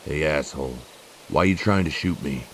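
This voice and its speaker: A big, bullying frat guy with an extremely deep voice. He is gruff and confrontational, in the manner of a horror audio drama. Clear hissy noise sits behind the voice. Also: normal speed. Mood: gruff, annoyed. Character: big, gruff, bullying bearded fraternity man.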